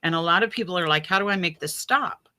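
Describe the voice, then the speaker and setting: A woman's voice: on the low side, quick pace, pushed and bright. A woman chatting casually about spiritual experiences, telling anecdotes and acting out bits of remembered conversation. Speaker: guest on a spiritual radio show.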